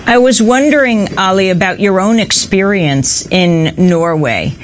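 A woman hosting a live news interview, putting questions in a hesitant, halting way. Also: mid-range voice, rough recording. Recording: clear background ambient noise.

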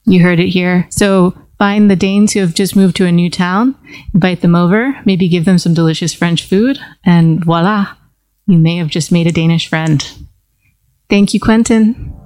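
A woman's voice: on the low side, moderate pace, a little mellow, no room sound. A woman hosting a casual roundtable chat, asking friendly follow-up questions with the odd "um". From United States. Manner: friendly, asks follow-up questions, frequent "um" and "sort of". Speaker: podcast host.

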